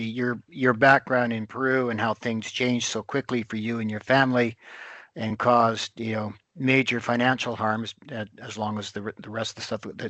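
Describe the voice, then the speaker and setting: A man hosting an interview podcast, speaking haltingly with many pauses and fillers. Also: medium pitch, fairly bright tone, no room sound, dull audio. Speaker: host.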